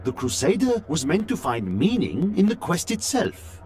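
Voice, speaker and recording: An actor playing a learned scholar-chronicler, reading his journal as grave, intrigued, deliberate dramatic narration for a fantasy video game. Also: sits high, huge pitch swings, darkish tone, soft background music. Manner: theatrical, deliberate, storytelling delivery.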